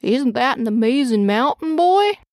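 A woman's voice: sits high, sing-song, unhurried, darkish tone, no echo, studio quality, heavily acted. A woman doing a folksy stranger. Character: friendly tall man with long hair, a shopkeeper type.

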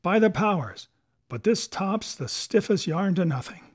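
A man's voice: a little high, lively intonation, unhurried, darkish tone. A man voicing a cunning, defiant pirate captain in a storybook, with sarcastic questions and rustic sailor's talk. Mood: indignant, vehement. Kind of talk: dramatic audiobook character voice.

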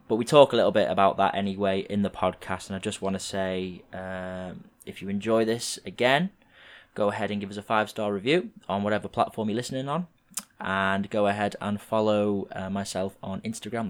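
Young english guy doing his podcast intro, bit croaky, low voice, lots of ums. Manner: relaxed, rambling, with frequent fillers. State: slightly croaky from a gig. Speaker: podcast host.

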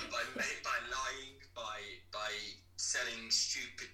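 Guy, really bright forceful voice, blunt chatty trainer on a podcast, roomy echo. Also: mid-range voice, fairly even intonation, very slow, soft background music. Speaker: personal trainer in podcast conversation. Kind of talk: casual podcast conversation. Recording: echoey, somewhat distant from the mic.

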